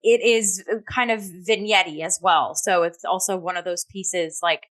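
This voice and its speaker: A woman's voice: fairly low pitch, fairly even intonation, normal speed, a bit bright. A woman co-hosting a theatre review show, talking casually and enthusiastically and peppering her speech with "like".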